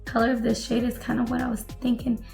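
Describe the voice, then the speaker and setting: A young woman with a raspy, hoarse voice, still recovering after losing it, chatting casually through a makeup tutorial in a small room at home. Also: a little high, quick pace, faint music behind. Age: young adult. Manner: relaxed, chatty product commentary while applying makeup. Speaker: beauty vlogger.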